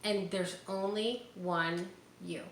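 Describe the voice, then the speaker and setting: A woman's voice: medium pitch, fairly even intonation, slow pace. A woman reading a picture book aloud to children, speaking to them directly and encouragingly with casual asides. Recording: roomy echo, faint background noise.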